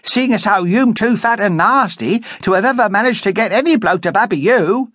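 A man's voice: sits high, normal speed, fairly bright tone, dry recording, clean studio sound, pitched above the performer's own voice. A man voicing a feisty young barmaid, combative and cutting.

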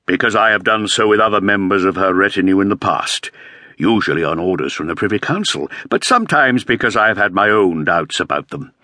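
A man's voice: sits low, fairly quick, sharp tone, no room sound, studio quality. A man voicing a put-upon, aging Elizabethan earl who speaks in a plaintive, complaining way. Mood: aggrieved.

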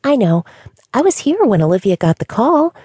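A woman voicing a cheery young female receptionist who chatters fast in a high, breathy voice. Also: sing-song, warm tone, dry recording, clean studio sound. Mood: bubbly. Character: bubbly young female receptionist, cheery but easily scared.

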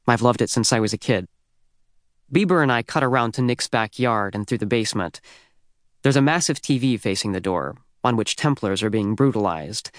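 A man's voice: mid-range voice, no room sound, clean studio sound. A man with an American accent narrating a first-person teen audiobook in a youthful, wry, conversational way.

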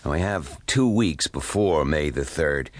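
An actor playing a grave, businesslike senior admiral in an audio drama, deliberate and commanding. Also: male voice, very deep voice, lively intonation, dry recording, heavily acted. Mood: deliberate, serious.

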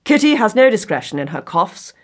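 A woman voicing a middle-aged gentleman and father in an audiobook, measured, teasing and mock-solemn, with dry irony. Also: medium pitch, sing-song, breathy edge, voice pushed up. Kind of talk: audiobook character acting.

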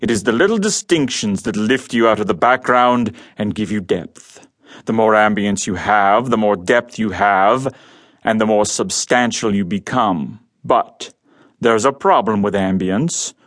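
An actor playing a huge, quietly menacing male crime boss in an audio drama, with a rumbly voice and measured, formal speech. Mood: philosophical, measured.

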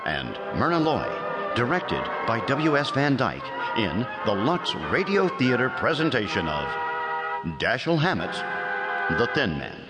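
A man hosting an audio archive show, giving a scripted introduction in a smooth presenter delivery. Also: medium pitch, wildly swooping pitch, unhurried. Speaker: host. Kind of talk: scripted narration. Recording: reverberant with loud music behind.

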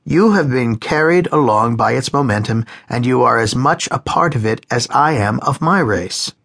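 A man's voice: medium pitch, expressive, normal speed, vocal fry, a bit bright. A man voicing an elderly male psychiatrist, who speaks in a cold, emphatic lecturing way, raising his voice above his own. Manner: pronouncing, emphatic.

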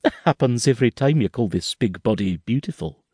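A loving young pregnant Highland wife, as a male narrator puts her on, soft and soothing in a lifted voice that moves up and down freely. The reading is dry and close to the mic. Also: lively intonation, a little fast, slightly rough, no room sound.

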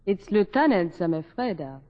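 An actress playing a bitter, defiant young French chambermaid in a 1940s radio drama, cool and sharp-tongued. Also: female voice, fairly low pitch, big pitch swings, moderate pace. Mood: brisk. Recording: muffled old radio recording, long reverb.

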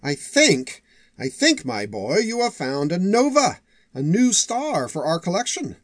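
A man's voice: sits high, huge pitch swings, measured pace, no echo, voice pushed up, heavily acted. Man voicing a dry, pompous old master archivist. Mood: rising excitement. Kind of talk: audiobook character voice.

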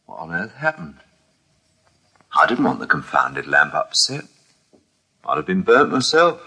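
A man's voice: extremely low pitch, wildly swooping pitch, a little slow, pushed and bright, husky, voice pushed down, full character voice. A man playing a timid, bewildered clerk who mutters hesitantly to himself in a vintage radio drama. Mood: puzzled, musing. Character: timid, bewildered male clerk testing his miraculous powers.

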